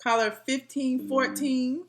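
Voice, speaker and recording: A woman's voice: sits high, level tone, takes it slowly, background noise. A woman hosting a call-in live stream, asking callers questions and thanking them. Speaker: livestream host taking calls. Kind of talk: call-in talk show.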